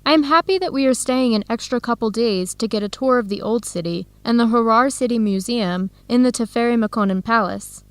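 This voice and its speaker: A warm, inquisitive American woman researcher acted by a woman in a radio drama. Her voice sits fairly high, conversational and recorded with very little room sound. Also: fairly quick, hardly any pauses, no echo, lightly acted. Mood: curious. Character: Helen, warm curious American woman researcher seeking family. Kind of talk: scripted educational radio drama dialogue.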